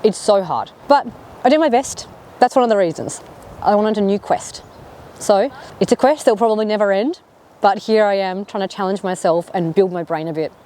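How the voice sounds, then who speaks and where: A woman's voice: medium pitch, normal speed, warm tone, slight background noise. An Australian woman in her thirties chatting casually to camera in a vlog, rambling with lots of fillers. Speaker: vlogger.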